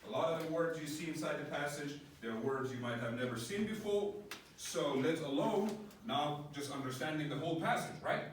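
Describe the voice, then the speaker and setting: A man's voice: fairly high pitch, normal speed, a little hiss or hum. A man teaching an English class, explaining step by step and often checking with his students by asking "right?". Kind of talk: classroom lecture. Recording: echoey room, voice from a distance.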